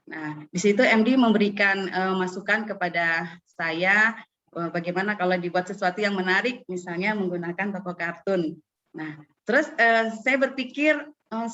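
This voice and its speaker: A woman teacher informally presenting her own experience in an online call, halting often as she goes. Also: medium pitch, a bit bright. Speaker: primary school teacher, guest speaker. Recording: noisy muffled online call audio.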